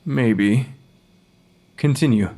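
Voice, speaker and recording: An actor playing a dry, matter-of-fact forensic pathologist who questions the dead, in a naturalistic audio drama. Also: male voice, mid-range voice, very slow, mellow. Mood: detached, skeptical.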